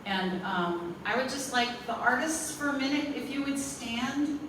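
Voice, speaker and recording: A woman's voice: sits high, lively intonation, a little slow, noisy background. Woman, organizer's thank-you remarks, heartfelt and rambling, distant mic in a reverberant hall. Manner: warm-hearted, rambling, grateful. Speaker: program organizer giving remarks.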